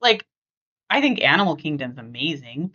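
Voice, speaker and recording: A woman's voice: low-pitched, sing-song, moderate pace, sharp tone, muffled recording. A middle-aged American woman hosting a podcast in a casual, chatty, rambling way. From US West Coast. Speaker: podcast host.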